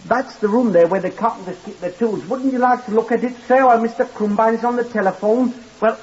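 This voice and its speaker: A man's voice: very high-pitched, fairly even intonation, fairly quick, a little mellow. An actor playing a simple, eager young hotel lift boy who rambles deferentially and calls everyone sir, in old-time radio acting. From Blackpool, Lancashire. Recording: muffled old radio recording with hiss.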